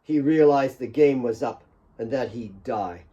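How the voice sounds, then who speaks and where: A man's voice: mid-range voice, measured pace, mellow, muffled recording. A man reading dramatic scripted fiction as an author narrating his own audiobook.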